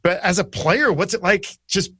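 A man's voice: high-pitched, lively intonation, normal speed, sharp tone, slightly rough, no room sound, studio quality. A man co-hosting a casual sports podcast, chatty and jokey as he steers the segments. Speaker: podcast co-host.

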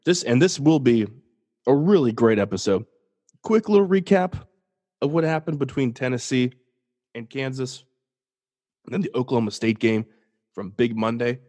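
A man's voice: mid-range voice, normal speed, pauses often, mellow. A young man with a stuffy, sniffly nose hosting a solo sports podcast, talking casually and joking at his own expense. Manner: casual, jokey, restarts and self-deprecating asides. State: congested, sniffly. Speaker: podcast host.

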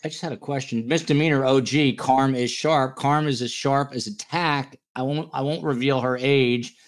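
A man's voice: medium pitch, steady tone, moderate pace. A man hosting a casual true-crime panel podcast, talking in a blunt, teasing, jokey way. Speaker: podcast host.

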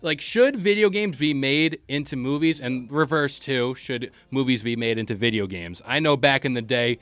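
A man's voice: mid-range voice, moderate pace, fairly bright tone, no echo. A man hosts a gaming podcast in a casual, chatty register. His talk is slangy and full of hype, recorded dry with little room around it. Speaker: podcast host.

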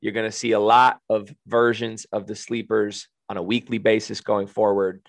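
A man co-hosting a casual podcast, upbeat and joking, with a raspy voice. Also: on the low side, flat delivery, moderate pace, no echo. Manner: upbeat, joking, plugs upcoming episodes. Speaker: podcast co-host.

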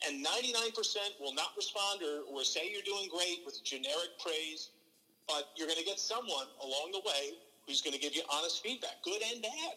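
Middle-aged male play-by-play man telling stories earnestly, voice a bit high. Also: a little fast, long reverb tail, rough recording. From from New Jersey. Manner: earnest, animated, frequent restarts. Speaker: baseball play-by-play broadcaster, podcast guest. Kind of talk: relaxed interview storytelling.

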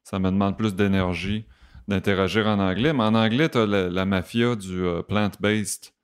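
A man's voice: lowish voice, moderate pace, clear, resonant tone. A man with a Québécois accent, a podcast guest who talks casually and acts out the comments people send him. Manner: animated, acts out quoted comments. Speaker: podcast guest, vegan chef. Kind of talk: casual conversation.

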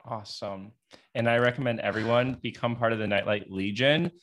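A man's voice: medium pitch, brisk, a bit bright. A man hosting a podcast interview in an informal, chatty way with hesitant phrasing. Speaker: podcast host interviewing a guest. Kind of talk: casual podcast interview chat.

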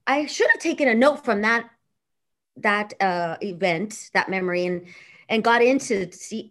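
A bubbly woman in her thirties with a Los Angeles regional accent, talking as a guest on a casual podcast. Her voice sits at an everyday pitch, and she laughs often and wanders into asides. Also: fairly bright tone. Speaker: radio and TV personality, guest.

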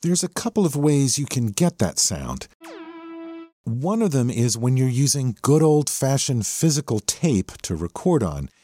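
A man's voice: mid-range voice, very animated, unhurried, dark tone. An American man hosting a music podcast, talking through a song with enthusiasm. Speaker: podcast host. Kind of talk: music appreciation podcast commentary.

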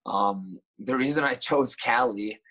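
Man from Japan, interview guest, mid pitch, relaxed, halting storyteller. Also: breathy edge, poor audio. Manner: relaxed, halting, with many restarts and fillers.

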